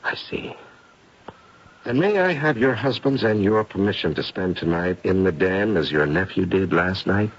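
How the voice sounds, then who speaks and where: An actor playing a composed, inquisitive gentleman doctor who investigates hauntings in a 1970s radio drama, measured, deliberate and courteous. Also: sits low, lively intonation, breathy edge, full character voice. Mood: calm, probing. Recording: muffled, noisy vintage radio broadcast.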